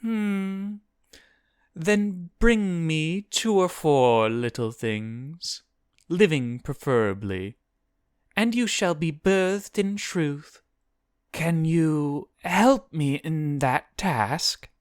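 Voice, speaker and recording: A narrator voicing a huge, ancient female demon who speaks slowly and formally, intimate with kin and contemptuous of mortals. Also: mid-range voice, animated, smooth, no echo, studio quality, voice pushed up. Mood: scheming, sly. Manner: slow, formal, archaic phrasing.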